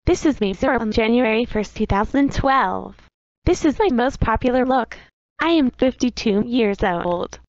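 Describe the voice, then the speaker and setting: A woman in her fifties, voiced by a synthetic female voice, introduces herself in a plain, announcing manner. Her pitch sits fairly high. The audio is dull and dry, with a lo-fi edge. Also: animated, normal speed, no room sound. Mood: matter-of-fact. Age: 50s. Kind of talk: animated video-diary narration.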